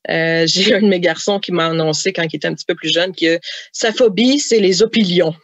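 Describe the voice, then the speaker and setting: A woman's voice: fairly low pitch, fairly quick, no echo. A woman with a Québécois accent, a novelist and mother, telling anecdotes in a podcast interview, chatty with many fillers and laughs.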